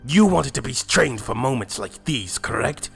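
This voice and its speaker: A man's voice: medium pitch, animated, normal speed, gravelly, over music. A man playing a stern, hot-tempered Saiyan warrior mentor in an anime fan drama, harsh and commanding. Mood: angry. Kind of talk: fan-made anime roleplay voice acting.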